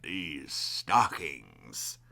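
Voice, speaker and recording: A man voicing a sour, scheming old storybook creature, snarling and hissing in a drawn-out, menacing way. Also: extremely low pitch, animated, creaky, sharp tone, voice pushed down, full character voice. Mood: hissing menace. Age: fifties. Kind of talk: storybook character voice.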